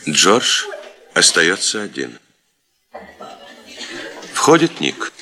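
Deep voiced guy narrating a radio drama, reads the stage directions, tense bright tone. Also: wildly swooping pitch, drawn-out words. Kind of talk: scripted radio play narration.

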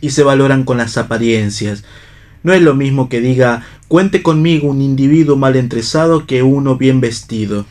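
An actor as a cynical, philosophizing husband. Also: male voice, medium pitch, normal speed, a little mellow, natural voice. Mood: wry, dreamy. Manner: literary, ironic, argumentative. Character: cynical, pipe-smoking husband, disillusioned and philosophical unbeliever. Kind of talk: staged radio play reading.

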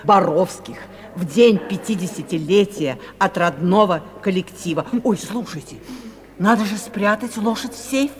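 A woman's voice: medium pitch, moderate pace, lightly acted. Bustling female busybody, acted, vintage radio comedy; officious, eager, fussy, heard with long reverb. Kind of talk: 1970s Soviet radio comedy acting.

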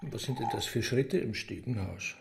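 A man's voice: medium pitch, normal speed, gravelly, reverberant, slight background noise, lightly acted. A man playing a laconic demon henchman in a radio drama, speaking in an offhand, unbothered way.

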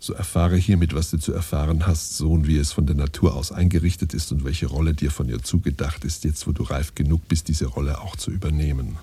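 A solemn patriarch lecturing his son in a radio play. The voice is extremely low and dark, with a heavily husky, breathy grain. He speaks in pompous, old-fashioned commands. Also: fairly even intonation, brisk, hardly any pauses. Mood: solemn, admonishing. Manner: pompous, archaic, instructing in imperatives. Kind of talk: scripted radio play monologue.

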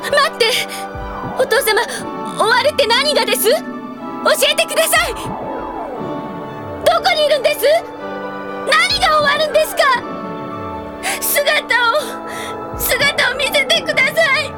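A woman's voice: very high-pitched, slow, long pauses. An actress in a Japanese anime audio drama playing a grown elder sister who teases her brother and yearns for her lost parents. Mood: desperate, pleading. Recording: studio drama with music behind.

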